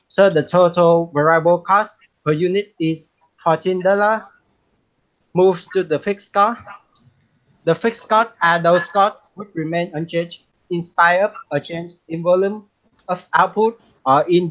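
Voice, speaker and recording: A man's voice: high voice, measured pace, a bit bright. A man from Vietnam giving a class presentation, reading his part steadily. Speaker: student presenter. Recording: dull lo-fi sound.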